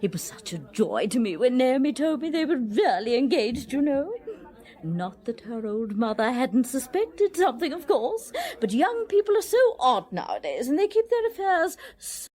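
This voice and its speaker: An actress playing a gushing, fussy middle-aged society hostess with an upper-class English accent in a radio drama. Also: female voice, high-pitched, sing-song, normal speed, warm tone. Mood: gushing, chatty. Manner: effusive, fluttery, chattering.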